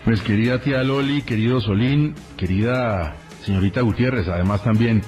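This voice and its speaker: A Colombian man hosts a radio interview in a conversational way. His voice sits at an average pitch, with faint music underneath. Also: moderate pace, a little mellow.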